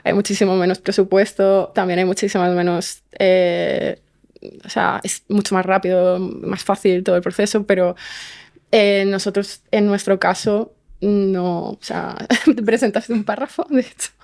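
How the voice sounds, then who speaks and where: Woman, mid-pitched, little melodic variation, casual interview guest. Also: steady tone, a little slow, vocal fry, a little mellow. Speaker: podcast guest, scriptwriter and fiction podcast creator.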